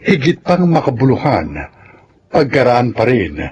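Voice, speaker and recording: A man with a Filipino accent giving dramatic scripted narration and announcements for a radio drama, solemn and deliberate. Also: medium pitch, very animated, a little mellow, muffled recording, a little hiss or hum.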